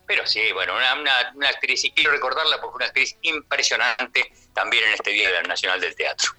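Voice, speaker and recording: Bright-voiced older Argentine man reminiscing. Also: medium pitch, animated, fast, low-quality recording. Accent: Rioplatense Argentine. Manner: rambling, chatty, frequent question tags. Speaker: theatre actor and radio guest. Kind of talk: conversational radio reminiscence.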